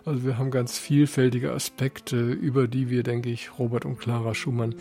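A man's voice: mid-range voice, level tone, normal speed, vocal fry, music in the background. A middle-aged man, a museum director, explaining his collection fluently and with enthusiasm in an interview. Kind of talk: interview answers.

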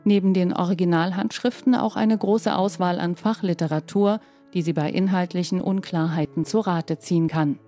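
A woman's voice: lowish voice, steady tone, normal speed, hardly any pauses, dark tone, faint music behind. A woman reporter reading scripted narration for a news feature.

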